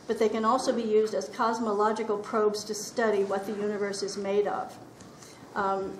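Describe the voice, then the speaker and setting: A woman hosting an event, reading a formal introduction of the speaker in a steady way. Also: medium pitch, slight background noise. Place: lecture hall. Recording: echoey hall.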